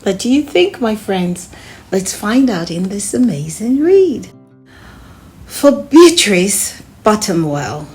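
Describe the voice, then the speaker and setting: Woman, children's read-aloud host, animated pitch, unhurried pace, expressive storytelling, soft background music. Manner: expressive, addresses young listeners with questions.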